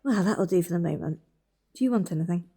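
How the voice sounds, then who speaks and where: An actress playing a dry, concerned sister in a naturalistic audio drama, with a wry, conversational delivery. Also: female voice, lowish voice, fast talker, warm tone, breathy edge. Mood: practical. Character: dry, concerned adult sister keeping vigil.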